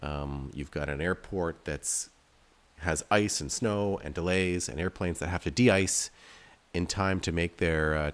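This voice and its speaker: A man giving casual gameplay commentary as a video host, rambling and thinking aloud in a self-deprecating way. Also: low-pitched. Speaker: game developer and video host playing his game.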